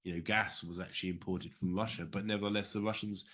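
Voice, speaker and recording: A man with a British accent and a fairly low voice talks spontaneously in an interview. He phrases things haltingly, feeling for his words as he answers. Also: steady tone, a bit bright. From United Kingdom. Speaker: interview guest, former diplomat.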